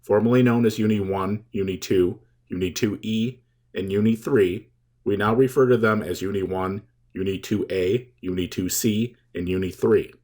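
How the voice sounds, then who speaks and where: Male tutorial presenter, slightly deep voice, reading scripted how-to material in a measured, methodical manner. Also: steady tone, a little mellow. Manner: measured, step-by-step explaining. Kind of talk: scripted instructional narration.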